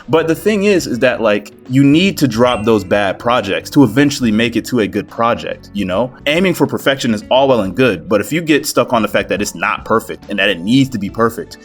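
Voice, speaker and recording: A man talks over music in a medium-pitched voice, handing out creator tips like he is chatting with friends. His delivery is loose and slangy, with a self-deprecating streak. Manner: slangy, bro-heavy, animated storytelling and self-deprecating. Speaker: video creator giving game development tips. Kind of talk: casual advice vlog. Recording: music behind the voice. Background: background music.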